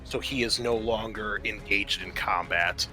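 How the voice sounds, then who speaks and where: A male game master with a bright, forward voice talks players through a tabletop roleplaying game. His delivery is conversational, with music playing behind him. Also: on the low side, steady tone, unhurried, poor audio.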